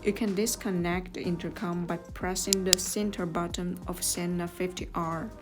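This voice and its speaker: A woman reading scripted step-by-step instructions as a tutorial narrator, in a measured, neutral manner. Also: lowish voice, steady tone. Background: music.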